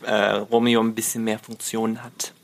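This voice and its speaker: A man's voice: lowish voice, completely flat delivery, moderate pace, gravelly. A man chatting casually as a podcast guest, giggly and informal, with English slang mixed in. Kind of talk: casual chat.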